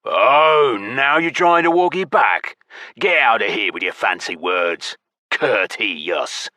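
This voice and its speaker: A touchy goblin convict for a fantasy audiobook. The voice is hoarse and growling, pushed louder and harder than plain narration, with a forceful edge. He jeers in a coarse, slangy drawl, always spoiling for a fight. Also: medium pitch, sing-song, unhurried, no room sound, clean studio sound, pitched above the performer's own voice. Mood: jeering. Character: foul-mouthed, touchy, mocking goblin thief convict.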